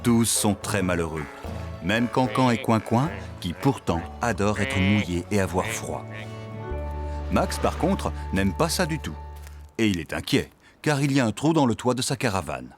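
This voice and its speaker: A man's voice: fairly low pitch, sing-song, normal speed, over music. A man reading scripted narration for a children's cartoon.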